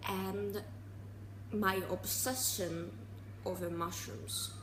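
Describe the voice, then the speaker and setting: A woman around twenty talking casually in a personal vlog, halting and restarting, with sarcastic asides. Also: mid-range voice, fairly even intonation, a bit bright, roomy sound, a little hiss or hum. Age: 20s. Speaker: vlogger.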